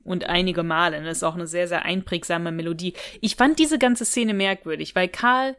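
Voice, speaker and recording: Low-voiced German woman in casual chat. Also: normal speed, a bit bright, clean tone. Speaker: podcast co-host. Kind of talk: casual chat, discussing an audio drama.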